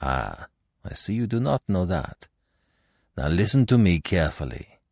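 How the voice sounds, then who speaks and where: A man voicing a calm, fatherly detective, speaking quietly and in a measured way. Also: deep voice, gravelly, no echo, clean studio sound, exaggerated voice. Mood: soothing. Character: famous little Belgian detective, calm and fatherly.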